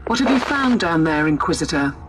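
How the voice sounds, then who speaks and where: An actor playing a wry, sardonic but loyal starship captain in a video game, heard over a radio link. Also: male voice, a little high, very animated, brisk, noisy background. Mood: businesslike. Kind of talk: dramatic video game voice acting.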